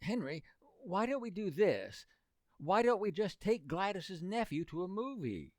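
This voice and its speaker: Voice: medium pitch, very animated, measured pace, no echo, clean studio sound. An actor playing an earnest, lovesick, hapless teenage boy in exclamatory, old-time radio comedy style. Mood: eager, scheming. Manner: exclamatory, dim-witted, earnest boyish slang.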